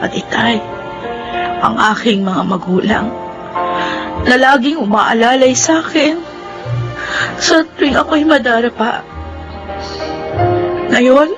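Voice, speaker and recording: A wronged, regretful young woman in a radio melodrama. Her voice is high and full of anguish and pleading. Soft music sits behind her. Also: slow pace, long pauses. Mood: sorrowful narrating. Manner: tearful, pleading, anguished delivery. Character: Myla, remorseful grieving young woman scarred by acid. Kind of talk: Filipino radio drama acting and narration.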